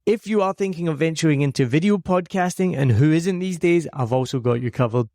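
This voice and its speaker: A man's voice: on the high side, fairly quick, a little mellow, dry recording, studio quality. Scottish man, upbeat podcast host. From Scotland. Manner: upbeat, promotional, earnest. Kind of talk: scripted podcast monologue. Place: home recording studio.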